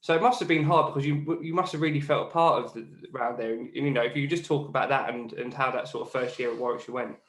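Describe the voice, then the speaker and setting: A man's voice: medium pitch, quick pace, few pauses, a bit bright. Chatty Geordie man in an echoey room. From Newcastle, England. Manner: chatty, matey, leading questions. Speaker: podcast host. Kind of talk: casual interview.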